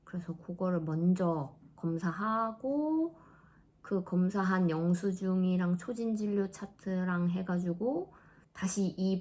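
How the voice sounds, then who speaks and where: A woman in her thirties chatting casually in a vlog, laughing often and dropping into soft asides. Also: fairly low pitch. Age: 30s. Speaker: vlogger.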